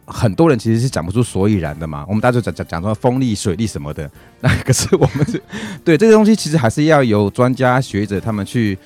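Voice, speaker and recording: A man's voice: medium pitch, very animated, quick pace, darkish tone, soft background music. A man with a Taiwanese Mandarin accent co-hosting a casual talk show, often repeating phrases as he thinks aloud. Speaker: radio talk show co-host. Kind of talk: casual conversational discussion.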